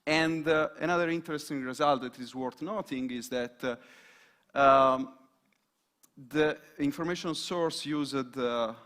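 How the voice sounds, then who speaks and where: Slow, halting man presenting research. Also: fairly high pitch, fairly bright tone. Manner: hesitant, many fillers and restarts. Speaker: student presenter.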